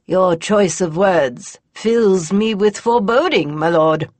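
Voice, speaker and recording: A woman's voice: medium pitch, animated, unhurried, slightly rough, no echo. A woman voicing a weary, wry middle-aged male academy dean who speaks in a dry, formal, exasperated way.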